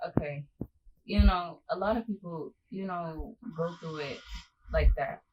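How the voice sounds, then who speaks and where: A woman's voice: fairly low pitch, measured pace, lo-fi sound. A young woman speaking as a daughter in a casual family chat, giving emphatic, motivational advice. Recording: distant mic with faint noise.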